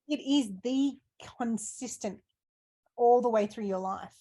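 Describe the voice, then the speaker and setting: A woman's voice: fairly high pitch, a little slow. A woman asking questions in a casual conversation, speaking in a heartfelt, thoughtful way. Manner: warm, emotional, thoughtful. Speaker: interviewer. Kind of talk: casual chat.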